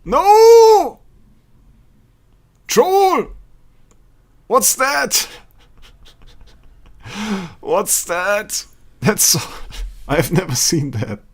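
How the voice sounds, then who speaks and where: A young German man chatting casually with viewers while streaming a game, rambling and repeating himself, laughing often and breaking into sudden excited outbursts. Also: high voice, extremely slow, pauses often. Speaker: livestreaming gamer.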